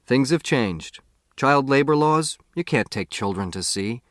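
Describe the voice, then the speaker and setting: A man's voice: medium pitch, lively intonation, unhurried, no echo, lightly acted. A hearty pioneer father, voiced by a man narrating a novel, proud and stubborn. He speaks emphatically and declaims his points, in a dry, close recording. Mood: conceding.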